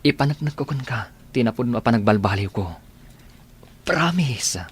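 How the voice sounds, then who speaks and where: A man in a radio drama playing a remorseful lover, pleading earnestly and haltingly for a second chance. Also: medium pitch, lively intonation, breathy edge.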